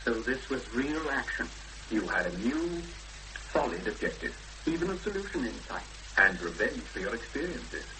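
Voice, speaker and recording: A mid-range man's voice from an actor with lively intonation, playing a deliberate, probing questioner. He is measured, in the manner of 1970s radio drama. The old broadcast recording is clearly noisy. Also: medium pitch, lightly acted. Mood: calmly probing. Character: measured, probing male questioner drawing out a troubled woman.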